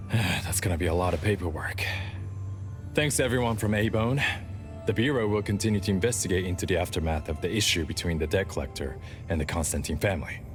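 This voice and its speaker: A man's voice: low voice, brisk, natural voice. An actor playing a world-weary, businesslike male bureau officer in an anime-style video game, with a dry official manner. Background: game music.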